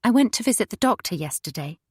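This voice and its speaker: A woman's voice: medium pitch, sing-song, fast talker, a little mellow, breathy edge, dry recording. A woman voicing an anxious young wife in an audiobook, timid and shaky, close to tears. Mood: nervous. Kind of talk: audiobook character voice.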